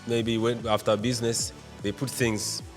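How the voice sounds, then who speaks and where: A man hosting an interview, speaking haltingly with many short agreements and repeated words. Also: medium pitch, little pitch movement, soft background music. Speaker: interview host. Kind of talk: casual interview.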